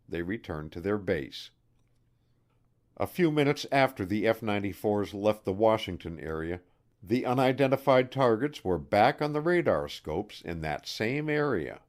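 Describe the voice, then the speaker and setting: A man's voice: lowish voice, a little mellow. A man reading a nonfiction audiobook as scripted narration. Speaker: audiobook reader.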